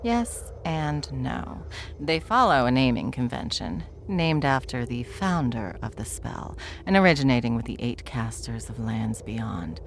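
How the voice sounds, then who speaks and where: Girl playing an immortal old spellcaster, super deep n creaky, wry n self-assured, asmr fantasy drama. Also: sing-song, unhurried, slight background noise, heavily acted. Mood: wry lecturing. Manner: theatrical, wry, leisurely lecturing with breathy asides.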